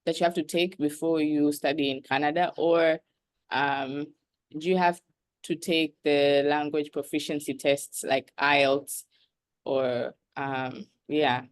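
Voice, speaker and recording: Woman host, very low voice, soft-spoken questioner, dry close recording. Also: steady tone, slow pace, no echo. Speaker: interviewer. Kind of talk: casual interview chat.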